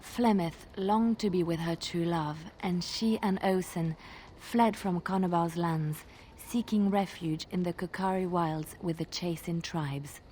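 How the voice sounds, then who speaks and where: Actress as a lowish-voiced, lyrical female fantasy minstrel. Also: a little mellow, a little hiss or hum, lightly acted, low-quality recording. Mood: wistful. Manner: measured, suspenseful, lyrical delivery. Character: female traveling minstrel, soft-spoken, wistful storyteller. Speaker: game companion character. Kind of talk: dramatic storytelling in a fantasy game.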